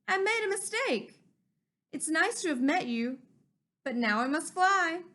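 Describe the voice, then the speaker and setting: A woman's voice: very high voice, sing-song, measured pace, pushed and bright, pitched above the performer's own voice, heavily acted. A woman voicing a mean, greedy male dragon from a children's storybook, relishing each line. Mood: nervous, apologetic.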